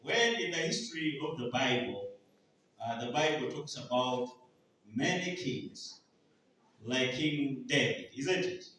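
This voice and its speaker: A Ugandan man preaches with a high, bright voice and slow, measured delivery. He keeps quizzing the congregation to complete his lines. His voice rings through a very echoey hall, heard from some distance off the mic. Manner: call-and-response questions to the congregation. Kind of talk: sermon.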